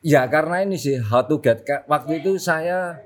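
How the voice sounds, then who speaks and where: A man's voice: on the high side, moderate pace. Casting: man, Surabaya Javanese accent, easygoing interview guest, jokey. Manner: relaxed, joking, drops into Javanese phrases. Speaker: journalist guest. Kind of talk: casual interview chat.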